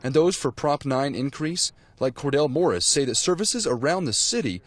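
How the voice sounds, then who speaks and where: A man reporting from the field for TV news in a scripted but conversational style, with a husky voice. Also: on the high side, expressive, moderate pace.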